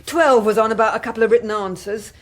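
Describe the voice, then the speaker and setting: An actress playing a matter-of-fact political secretary in a naturalistic radio drama. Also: female voice, a little high, fast, natural voice.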